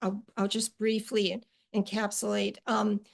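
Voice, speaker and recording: Middle-aged female poet, hesitant conversational delivery, slow deliberate reading of poems. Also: mid-range voice, completely flat delivery, gravelly. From Ukrainian-American family.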